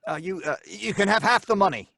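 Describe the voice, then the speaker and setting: An anxious crook in an old-time radio play, both pleading and narrating. His voice is fairly high, urgent and earnest, recorded dry. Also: animated, a little fast, vocal fry, pushed and bright, dry recording, exaggerated voice. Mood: desperate. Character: anxious, pleading male robber telling his tale.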